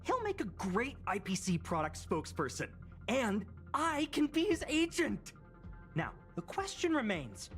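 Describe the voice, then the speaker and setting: A woman's voice: mid-range voice, natural voice. A woman playing a cynical, dramatic young office worker in a video game, sounding sardonic, theatrical and exasperated. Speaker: voice actor in a game. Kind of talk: animated video game dialogue.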